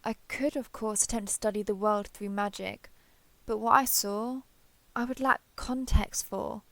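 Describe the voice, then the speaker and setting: A woman playing an ancient, proud female dragon in human form in an audio roleplay, speaking in formal, measured, archaic phrasing. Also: mid-range voice, fairly even intonation, vocal fry, a bit bright, no room sound. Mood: thoughtful, gracious. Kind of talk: scripted audio roleplay acting.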